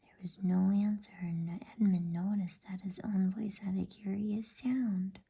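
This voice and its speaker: A woman reading a bedtime story aloud as ASMR, in a soft, slow and calm unvoiced whisper. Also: medium pitch, gravelly, husky.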